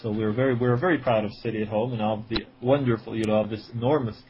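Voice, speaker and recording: A man's voice: fairly low pitch, fairly even intonation, fast. A man in his forties from Israel, answering interview questions with many fillers and restarts, and a slight cold. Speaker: guest, writer and editor. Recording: dull lo-fi sound with faint noise.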